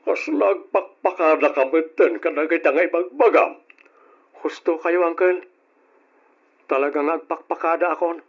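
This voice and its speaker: Male actor for put-upon young nephew, Ilocano Filipino accent, radio drama, slightly high pitch, dull audio. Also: moderate pace. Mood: hurt, polite. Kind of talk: old-style Ilocano radio drama acting.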